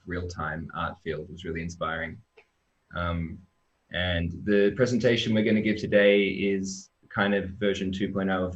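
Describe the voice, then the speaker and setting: A man presenting his team's software work on a live-stream, relaxed and chatty with lots of ums. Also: sits low, lo-fi sound. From Australia.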